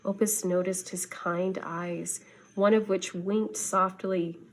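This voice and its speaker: A woman reading a children's story aloud like a schoolteacher, with expressive, dramatic storytelling. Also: fairly low pitch, takes it slowly, a little hiss or hum, low-quality recording.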